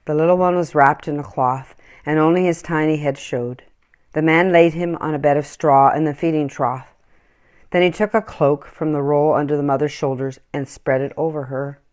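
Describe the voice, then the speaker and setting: A woman reading a children's story aloud in an expressive, acted-out storytelling style. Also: low-pitched, moderate pace. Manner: animated, acts out dialogue and animal sounds. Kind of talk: expressive scripted storybook narration.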